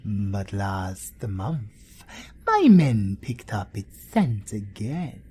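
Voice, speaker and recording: An actor playing a suave, teasing male vampire leader with a British accent and a breathy, theatrical, flirtatious drawl in an audio roleplay. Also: lowish voice, big pitch swings, extremely slow, mellow, exaggerated voice. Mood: measured recounting.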